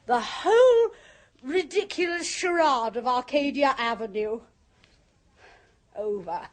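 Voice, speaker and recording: Brief: a sardonic sham medium, older radio-play lead, slightly high, slow, theatrical and cutting. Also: female voice. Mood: bitter. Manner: theatrical, mocking, clipped upper-class delivery. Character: jaded woman, sham spirit medium, sardonic. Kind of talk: 1970s radio drama acting.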